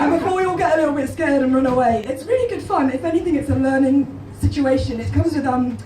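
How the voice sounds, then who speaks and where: A young English woman with a high, croaky, hoarse voice delivers deadpan, self-deprecating stand-up. She is heard from far back in a small club, through its echoey house sound. Audience laughter rolls in between her lines. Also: background noise. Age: 20s. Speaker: stand-up comedian. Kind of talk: stand-up comedy set. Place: small comedy club stage, live audience. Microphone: handheld stage mic, filmed from far back in the crowd.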